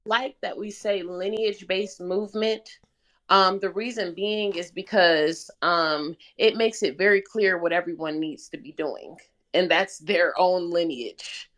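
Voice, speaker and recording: A woman talking casually as a panelist, explaining her reasoning in a measured way. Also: lowish voice, a bit bright. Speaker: discussion panelist. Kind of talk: casual discussion.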